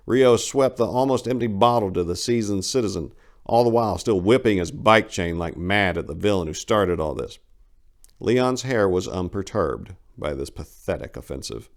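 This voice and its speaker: A man giving a theatrical, emphatic dramatic reading of a short story as narrator. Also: lowish voice.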